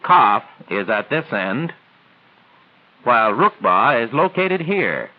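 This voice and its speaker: A man narrates in the formal manner of a 1940s military training film. His mid-pitched voice moves in animated contours as he explains each point in carefully scripted phrases. The sound is thin and boxed-in, like an old optical film track. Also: phone-quality sound. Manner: formal, didactic, measured phrasing.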